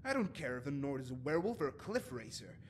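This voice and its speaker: A man's voice: mid-range voice, very animated, quick pace, slight background noise, natural voice. A man playing a haughty, prickly poet and bard in a fantasy game, speaking in a florid, pompous, scornful way. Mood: indignant. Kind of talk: scripted fantasy game dialogue.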